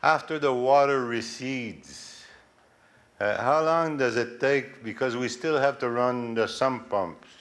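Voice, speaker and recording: A deep-voiced man asking questions from the floor at a public meeting, plain-spoken and hesitant. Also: slow, a bit bright. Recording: roomy and distant with clear room noise.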